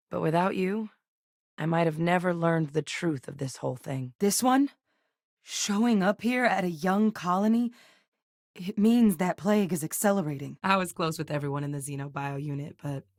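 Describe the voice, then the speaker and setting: A woman playing a seasoned, guarded ex-military scientist in a video game, speaking in a measured, serious, matter-of-fact way. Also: deep voice, a little mellow, no room sound, clean studio sound. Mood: subdued, reflective, then surprised. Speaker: video game companion character.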